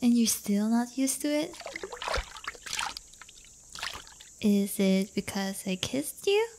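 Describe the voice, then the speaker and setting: A woman's voice: a little high, lively intonation, background noise. A woman playing a playful, crafty but affectionate teenage delinquent girlfriend in an intimate roleplay audio, teasing and coaxing. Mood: tender teasing. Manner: teasing, coaxing, drawn-out delivery.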